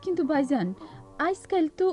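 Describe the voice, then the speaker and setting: An actress playing an anxious, pining young village wife in a radio play, timid and plaintive, with a rural eastern Bengali accent. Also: female voice, very high voice, lively intonation, normal speed. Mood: worried, wistful. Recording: loud music behind, radio drama.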